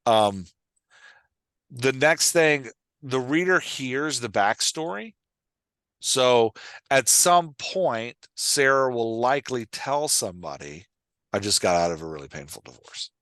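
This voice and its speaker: A man's voice: medium pitch, lively intonation, slow, fairly bright tone, no room sound. A man hosting and coaching in a conversational, explanatory way, often checking that the listener follows. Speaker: podcast host and writing coach.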